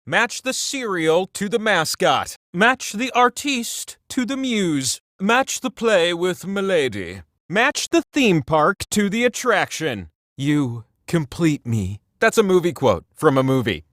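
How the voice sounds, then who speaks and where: Grandiose, swooping high-pitched game-show wheel. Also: male voice, slow pace, no echo, studio quality, full character voice. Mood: theatrical, wry. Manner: grandiose with wry deadpan asides. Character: giant all-knowing game-show wheel, boastful, theatrical male godlike being. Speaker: quiz game host. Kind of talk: theatrical game-show hosting.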